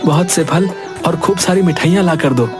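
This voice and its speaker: An earnest, quick-witted village husband in a cartoon, acted by a man. His voice sits a little high and he tends to repeat his words. Music plays behind him. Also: lightly acted. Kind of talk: Hindi children's cartoon voice acting.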